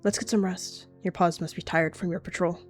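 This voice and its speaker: A woman plays a big scheming she-cat warrior with a low, cool, curt voice. Faint music sits in the background. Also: fairly even intonation, a little fast, natural voice.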